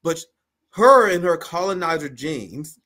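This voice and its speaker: A man's voice: fairly high pitch, measured pace. An American man in his thirties co-hosting a casual, profane political show, with an incredulous, slangy manner. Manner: incredulous, animated, slangy ranting. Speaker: co-host.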